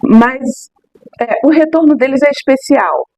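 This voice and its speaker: A middle-aged woman speaking as a neighborhood tour guide, her voice a little high. She talks emphatically, stretches words and keeps checking in with her listener. The sound is close and dry, with no room around it. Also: creaky, dry recording. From Rio de Janeiro, Brazil. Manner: expressive, emphatic, drawn-out words, many tag questions. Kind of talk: animated interview answers.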